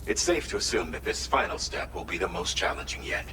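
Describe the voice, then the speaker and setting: Deep, distant male commander. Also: sing-song. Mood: serious, instructing. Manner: formal, measured, authoritative. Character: sober male mission commander giving orders. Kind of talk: scripted game mission briefing. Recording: distant, roomy sound under music.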